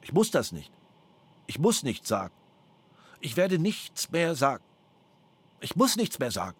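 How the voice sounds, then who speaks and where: A man's voice: fairly high pitch, wildly swooping pitch, a little slow, echoey room. A man being questioned by a prosecutor, agitated and defiant, repeating himself over and over. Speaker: suspect under interrogation. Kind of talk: police interrogation tape.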